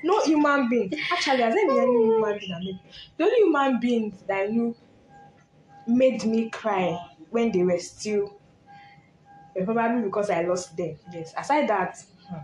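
A Nigerian woman in her twenties, a nursing student, speaking softly as a podcast guest in a casual chat. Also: on the high side, lively intonation, normal speed, echoey room, faint music behind, low-quality recording. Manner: soft-spoken, defends herself animatedly. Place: room in a village house.

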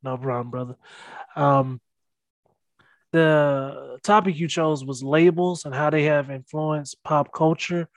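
Male podcast host, higher voice, dry close sound, hesitant interviewer. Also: steady tone, slow, dry recording. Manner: hesitant, many ums and uhs. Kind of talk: interviewing a guest.

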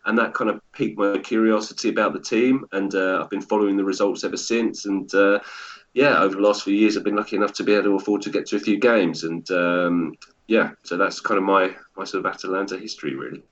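An English man in his thirties, a podcast guest telling relaxed stories with self-deprecating humor. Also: low voice, fast talker. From England. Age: 30s. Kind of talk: casual podcast interview. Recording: dull, lo-fi sound.

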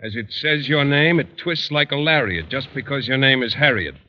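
A man's voice: medium pitch, animated, normal speed, close to an everyday voice. A man playing an earnest, bashful, mildly formal biology teacher in an old radio sitcom. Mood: earnest recital. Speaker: actor in radio comedy. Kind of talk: 1940s-50s radio sitcom acting. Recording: dull old radio recording.

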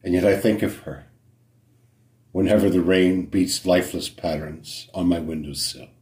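A man reciting a poem solemnly as a poet, in measured phrases with dramatic pauses. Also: deep voice, fairly even intonation, darkish tone, echoey room.

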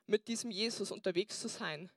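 A woman's voice: medium pitch, a little fast, dry recording, clean studio sound. A young woman pastor preaching a sermon and telling her personal testimony, earnest with frequent fillers. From Bavaria, Germany.

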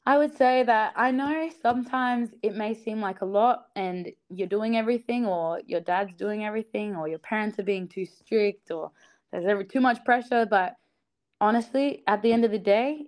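A young woman talking as a podcast guest, earnest and upbeat, often laughing and using fillers like "you know". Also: medium pitch. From South Australia. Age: young adult. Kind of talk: casual podcast interview.

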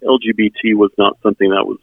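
A middle-aged man from South Texas whose first language is German, telling his personal story in an earnest, halting way as an interview guest. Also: fairly low pitch, little pitch movement, creaky, no echo. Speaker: interview guest, member services volunteer. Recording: tinny phone-like sound, band-limited.

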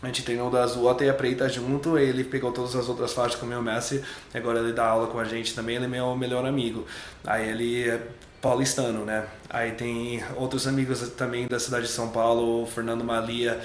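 A man's voice: medium pitch, completely flat delivery, brisk, roomy sound, a little hiss or hum. A young adult man, a native English speaker, chatting casually as an interview guest, loose and repetitive, restarting his words. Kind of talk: relaxed interview chat.

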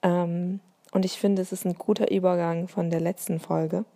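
Woman with a faint Bavarian lilt, solo podcast musing, mellow low voice, halting and reflective. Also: level tone, vocal fry. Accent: light Bavarian German. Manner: thoughtful, many hesitations and fillers.

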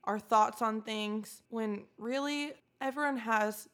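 A woman's voice: a little high, takes it slowly, fairly bright tone. A woman of about twenty, co-hosting a podcast in a casual, bubbly and candid chat. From California, USA. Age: 20s. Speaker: podcast co-host.

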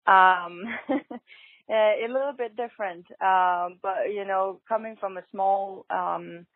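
A woman's voice: mid-range voice, slow, dry recording. A young Danish woman with a Danish accent, answering interview questions hesitantly, with many fillers and restarts. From Denmark. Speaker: guest, fashion designer. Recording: tinny phone-line sound, dry.

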